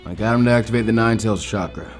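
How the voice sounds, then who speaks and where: A man's voice: fairly low pitch, fast talker, soft background music, close to an everyday voice. A man voicing a dry, brooding, plain-spoken ninja master in an audiobook story. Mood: subdued. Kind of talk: fan fiction audiobook character voice.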